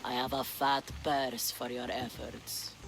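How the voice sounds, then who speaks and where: A woman's voice: a bass voice, little pitch movement, slow, creaky, music in the background, exaggerated voice. An actress playing a sly, imperious, treasure-loving pirate queen of mature years, with a languid, theatrical delivery in a video game cutscene. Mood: teasing, commanding. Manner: languid, commanding, teasing, theatrical.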